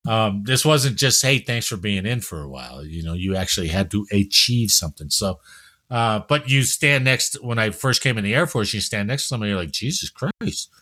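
Jersey guy hosting a news podcast, laughs a lot, really bouncy pitch. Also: animated, a little fast, bright, forceful tone. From New Jersey. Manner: jokey, laughs often, tells stories. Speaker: podcast host. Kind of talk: casual news chat.

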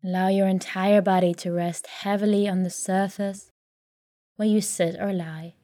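A woman leading a guided sleep meditation in a calm, soothing, gentle way, leaving long pauses between phrases. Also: medium pitch, dry recording, clean studio sound. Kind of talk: guided sleep meditation, soft scripted narration.

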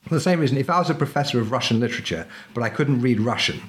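Middle-aged male podcast guest, fencing instructor; chatty, emphatic, mid-pitched, keeps tagging "right?". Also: lively intonation, rapid-fire, fairly bright tone. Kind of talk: casual interview chat.

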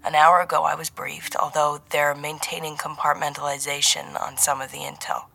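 A woman's voice: deep voice, flat delivery, normal speed, creaky, sharp tone, husky, exaggerated voice, poor audio. A woman voicing a male intelligence handler in an audiobook, mild, dry and given to banter. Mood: serious, measured. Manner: bantering, mild, turning businesslike.

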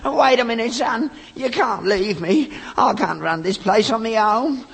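A man's voice: extremely high pitch, lively intonation, a little slow, vocal fry, slightly rough, full character voice. An actor playing a wheedling, self-pitying old rag-and-bone man with a working-class London accent, whining and pleading melodramatically in a sitcom. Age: older. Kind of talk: scripted sitcom acting. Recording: echoey hall, noisy archive recording.

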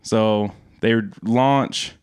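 Low-voiced young man, dry sound. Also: extremely slow, a little mellow, smooth, dry recording. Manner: rambling storytelling with frequent laughs and swearing. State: tired from staying up all night. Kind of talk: casual chat.

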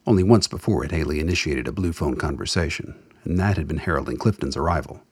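A man narrating a radio drama as a wry storyteller, with a husky voice and scripted, measured delivery. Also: very deep voice, animated, no echo, exaggerated voice. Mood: wry storytelling.

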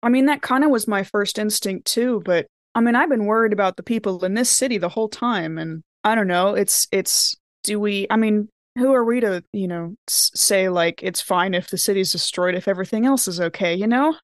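Female tabletop player, medium-pitched, casual in-character talk, hesitant phrasing, very dry recording. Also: brisk, hardly any pauses, dry recording. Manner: tentative, hedging, restarts sentences. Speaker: player in a tabletop role-playing game.

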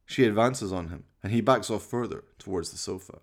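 A man's voice: fairly low pitch, a little fast. A man narrating a radio play from a script, describing the action in the present tense.

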